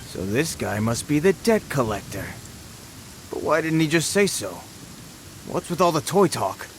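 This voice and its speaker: An actor playing a jittery, deferential bandit underling in a video game, hesitant and placating. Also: male voice, a little high, very animated, measured pace, a little hiss or hum. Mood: nervous, puzzled.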